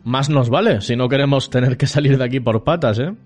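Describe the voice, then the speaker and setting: Man acting a wry scriptwriter, medium-pitched voice. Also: brisk, darkish tone, faint music behind, close to an everyday voice. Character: male scriptwriter, coaxing and wry with his creations. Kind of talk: audio fiction acting.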